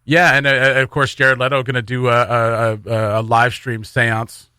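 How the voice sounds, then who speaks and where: A man's voice: medium pitch, flat delivery, normal speed, sharp tone, clean tone, no echo, studio quality. A man hosting a morning radio show, chatting casually and jokingly, rambling loosely between community announcements.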